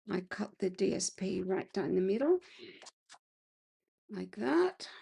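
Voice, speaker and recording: Casting: unhurried crafter woman, gravelly and husky, croaky today. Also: medium pitch, warm tone. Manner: chatty, clears throat often. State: slightly croaky voice. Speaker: card-making crafter. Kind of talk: casual craft tutorial.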